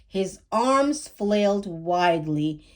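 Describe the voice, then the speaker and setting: A woman reads a children's story aloud at an unhurried pace. Her voice sits at a medium pitch, and she narrates expressively, in a measured read-aloud style. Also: lively intonation, a little mellow. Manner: measured, acts out dialogue.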